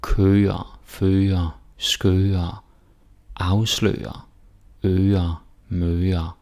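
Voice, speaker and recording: A man with a Danish accent walks through pronunciation drills, repeating each word for learners. His voice sits very low, with a gravelly edge. He talks slowly and casually. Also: little pitch movement, a little mellow. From Denmark. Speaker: language teacher. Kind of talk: pronunciation lesson.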